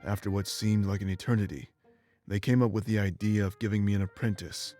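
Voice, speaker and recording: An actor playing an ancient, remorseful immortal warrior in a video game, speaking in a solemn, measured, bitter way. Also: male voice, lowish voice, fairly even intonation, mellow, faint music behind, lightly acted. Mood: regretful. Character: ancient immortal former rebel warrior, remorseful and weary man. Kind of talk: dramatic game voice acting, confessional monologue.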